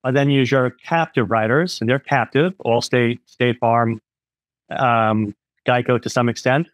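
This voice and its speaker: A man's voice: medium pitch, steady tone, measured pace, dry recording. A middle-aged man, an independent insurance agent, explains things frankly over a video call, with many "um"s and "you know"s. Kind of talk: explanatory interview talk.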